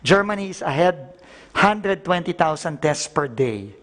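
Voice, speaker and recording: Filipino-accented man in his middle years, formal official briefing, polite and mixed-language, fairly high voice. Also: lively intonation, unhurried, fairly bright tone. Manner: mixes English and Filipino, polite po, frequent "no?" tags. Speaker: government official reporting at briefing.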